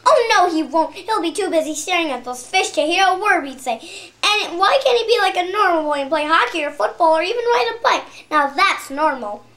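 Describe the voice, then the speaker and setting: Woman as a grumbling, high-voiced scornful father. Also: animated, brisk, fairly bright tone, exaggerated voice. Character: grumbling, scornful father fed up with his son. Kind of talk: readers theater storytelling. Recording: echoey room.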